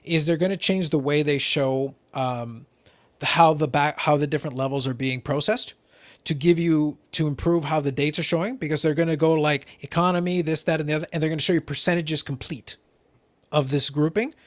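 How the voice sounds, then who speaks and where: Animated man explaining, high and dry. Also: a little fast, no room sound. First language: not English. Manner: animated, explanatory, repeats phrases when starting. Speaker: podcast host. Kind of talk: casual hobby chat.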